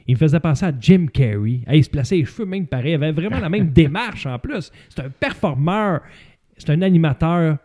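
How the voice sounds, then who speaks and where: A man with a Québécois accent hosting a casual comedy show, telling stories in an animated way with frequent laughter. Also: fairly high pitch, fairly quick. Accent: Québécois French. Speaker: host. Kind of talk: casual comedy podcast chat.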